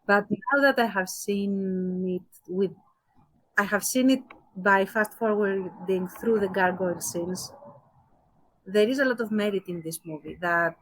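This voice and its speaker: A woman's voice: mid-range voice, unhurried, poor audio. A woman co-hosting a podcast, giving her opinions in a casual discussion and thinking aloud as she qualifies her points. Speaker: podcast co-host.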